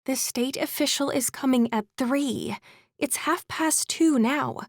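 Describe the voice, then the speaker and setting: A woman's voice: high-pitched, slow, no echo, studio quality, full character voice. A female actor playing a worried, skeptical woman in an amateur theater crew, in a full-cast audiobook. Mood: anxious. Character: anxious, fretting female community theater schemer.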